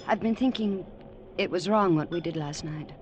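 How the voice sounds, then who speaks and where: A woman's voice: fairly low pitch, normal speed, lightly acted. An actress playing a troubled, guarded young woman in a 1950s radio drama, earnest and strained. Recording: dull old radio recording, faint noise.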